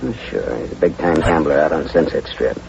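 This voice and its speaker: A man's voice: a bass voice, brisk, gravelly, a little mellow, a little hiss or hum. A man playing a wry, tough private eye in an old radio detective drama, with laconic, wisecracking delivery and narration. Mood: wry, businesslike. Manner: wisecracking, laconic, hard-boiled. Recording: muffled vintage radio recording with music.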